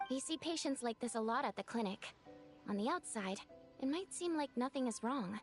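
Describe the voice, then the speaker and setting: A woman voicing a measured, analytical female doctor in a video game. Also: on the high side, animated, faint music behind, natural voice. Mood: serious. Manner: measured and clinical.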